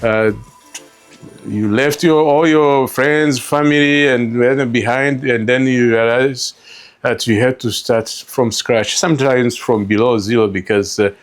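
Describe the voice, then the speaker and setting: Middle aged rwandan guy telling his story in an interview, really slow and halting, bit of music behind. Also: mid-range voice, steady tone. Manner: halting, repeats words. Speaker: interview guest, store co-founder. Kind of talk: reflective personal storytelling in an interview.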